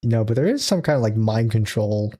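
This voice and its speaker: A man's voice: fairly low pitch, expressive, a little fast, mellow. A man co-hosting a podcast in casual chat, rambling with lots of fillers and frequent laughs. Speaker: podcast co-host.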